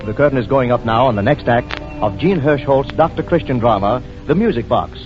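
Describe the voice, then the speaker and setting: A man's voice: medium pitch, expressive, fairly quick, over music. A man working as a radio announcer, reading scripted narration in a 1940s style. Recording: dull vintage radio recording.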